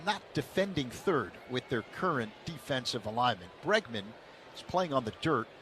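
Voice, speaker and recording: A man calling baseball play-by-play on the radio in a steady, measured way. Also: mid-range voice, a little hiss or hum. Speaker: play-by-play announcer.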